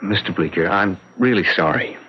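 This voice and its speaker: An actor playing a weary, courteous criminal lawyer who reasons patiently and reluctantly in an old-time radio drama. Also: male voice, deep voice, husky. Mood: apologetic, patient. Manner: measured, polite, reasoning. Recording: dull lo-fi old radio recording.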